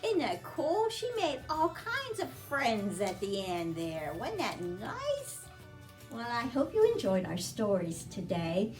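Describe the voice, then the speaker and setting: A woman's voice: a little high, huge pitch swings, slow pace, over music. A woman reading aloud at a children's storytime as a librarian, in a sing-song manner with simple questions to young listeners.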